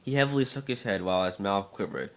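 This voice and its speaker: A man narrating fan fiction as a dramatic reading, slightly halting and stumbling over words now and then. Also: on the low side, a little hiss or hum.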